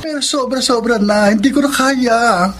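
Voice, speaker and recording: A man's voice: very high voice, moderate pace, background noise. Pleading young Filipino man. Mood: urgent pleading. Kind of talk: Filipino radio drama acting.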